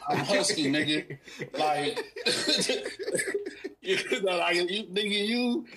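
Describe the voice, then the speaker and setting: A man's voice: high voice, sing-song, takes it slowly, over a noisy crowd. A man joking and teasing profanely in casual live-stream banter. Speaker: panelist in a live-stream chat.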